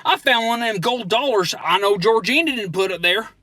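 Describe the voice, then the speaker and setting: A man playing an excitable, gullible country skeptic with a rural American accent, folksy and halting in comic banter. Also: high voice, no room sound, exaggerated voice. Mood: skeptical. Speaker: comedy podcast co-host.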